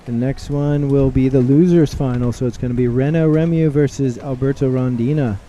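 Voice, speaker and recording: Man, live sports commentator, casual banter, very dark mellow tone, faint background noise. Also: mid-range voice, brisk. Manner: relaxed, chatty, joking with co-host.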